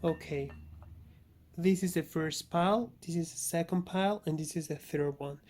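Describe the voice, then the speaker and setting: A man's voice: fairly high pitch, normal speed, darkish tone. A man who is a native Spanish speaker, with a Spanish accent, informally hosting an oracle card reading and often searching for words. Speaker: tarot and oracle reader, channel host.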